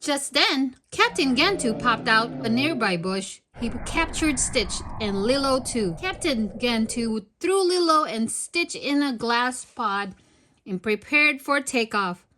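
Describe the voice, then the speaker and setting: A woman narrating a children's storybook aloud in an expressive read-aloud style. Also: on the high side, takes it slowly, background noise.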